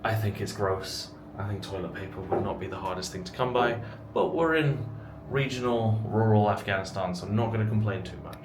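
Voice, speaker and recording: A man's voice: on the low side, fairly quick, few pauses. Wry young man vlogging by a noisy road. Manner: wry, dry, self-deprecating asides. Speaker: travel vlogger. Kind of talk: casual travel vlog narration. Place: outdoors on roadsides and streets, then an indoor hotel room. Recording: loud traffic and street noise.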